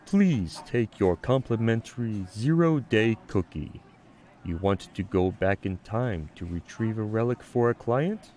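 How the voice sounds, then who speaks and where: A man playing a solemn, cryptic skeletal god in an audio drama, making slow and grandiose oracular pronouncements. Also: on the low side, animated, darkish tone, a little hiss or hum. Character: solemn, cryptic skeletal coffee god granting time travel.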